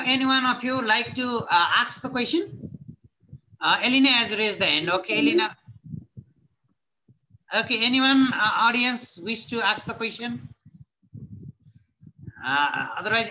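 A man in his thirties with a Nepali accent moderates an online panel as a teacher, speaking haltingly and repeating phrases for emphasis. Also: high-pitched, sharp tone, highs cut off. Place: indoors, plain-walled room. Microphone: computer mic on a video call. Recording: noisy video-call audio with clicks.

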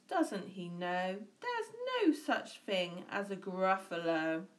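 A woman reading a children's story aloud in an expressive style. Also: medium pitch. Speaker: storybook reader.

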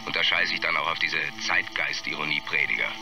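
An actor playing a brooding, defiant film director in his thirties, gravelly and halting, in a documentary interview. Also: very deep voice, over music. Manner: hesitant, halting, searching for words.

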